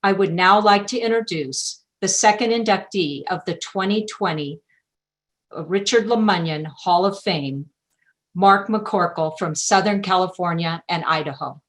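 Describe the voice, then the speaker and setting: Formal low-voiced woman presenting an award. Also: measured pace, a bit bright. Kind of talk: formal scripted speech, read aloud. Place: video call from an indoor room. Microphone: video call microphone.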